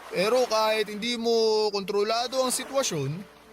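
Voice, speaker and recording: Drunk middle-aged uncle, high voice, heartbroken philosopher, slow weighty delivery, radio drama, music underneath. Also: male voice. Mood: reflective, resigned. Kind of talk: Filipino radio drama dialogue.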